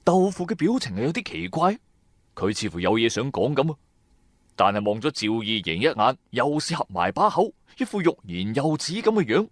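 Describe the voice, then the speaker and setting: A man's voice: medium pitch, big pitch swings, normal speed, no room sound, studio quality. A man with a Cantonese accent narrating a supernatural radio drama in the first person, dramatic and colloquial.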